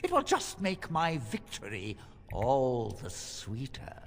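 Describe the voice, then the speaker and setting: A possessed mage villain from a fantasy game, creaky and menacing. The delivery is extremely slow, with pitch swinging widely as he taunts grandly. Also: huge pitch swings, full character voice. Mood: smug menace. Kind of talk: fantasy video game acting.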